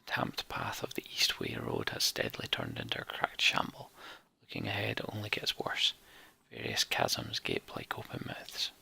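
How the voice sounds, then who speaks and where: A man narrating a fantasy game's text like a storybook, in a hushed, theatrical manner. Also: extremely low pitch, wildly swooping pitch, moderate pace, gravelly, breathy edge, whispered. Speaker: let's play narrator.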